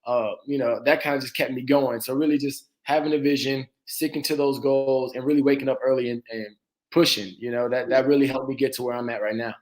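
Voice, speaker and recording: A young man from Atlanta talks as a dentist guest on an interview show. His voice sits at an ordinary middle pitch, and he speaks casually and easily, with plenty of "uh"s and quick laughs. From Atlanta, Georgia. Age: 20s. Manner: relaxed, laughs easily, frequent "uh" and "you know".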